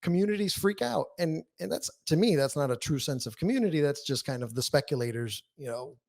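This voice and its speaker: A man's voice: fairly high pitch, animated, a little fast, creaky, a little mellow. A man speaking as a podcast guest, explaining things candidly and emphatically in casual interview talk. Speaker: podcast guest, newsletter writer.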